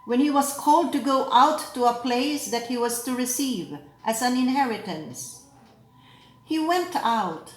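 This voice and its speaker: A woman teaching scripture readers, reading a liturgical text slowly and deliberately, pausing at each phrase. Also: a little high, reverberant, poor audio. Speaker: lector trainer. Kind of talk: slow, measured scripture reading and pronunciation drill.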